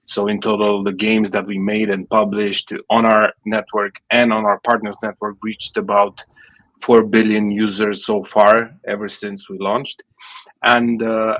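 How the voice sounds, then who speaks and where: A man's voice: on the low side, fairly bright tone, low-quality recording. A man in his late thirties from Romania with a Romanian accent, a startup founder answering interview questions with many fillers and restarts. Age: late 30s. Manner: many fillers and restarts, fluent non-native English. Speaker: guest, gaming startup founder.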